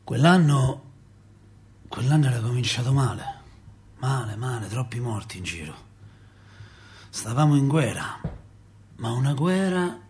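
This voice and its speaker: A man's voice: medium pitch, darkish tone, natural voice. An actor playing a working-class Roman father in a radio play, telling his memories in a colloquial, repetitive, emphatic way with a Roman accent. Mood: somber. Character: working-class Roman father recalling 1970s street politics, wistful, impassioned. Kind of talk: radio theatre monologue.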